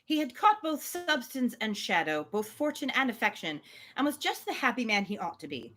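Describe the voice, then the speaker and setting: A woman with a fairly high voice and very animated intonation hosts a live novel reading. She moves between expressive narration and informal, amused commentary. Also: normal speed. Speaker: host reading a novel on a livestream. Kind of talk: live book reading with casual asides.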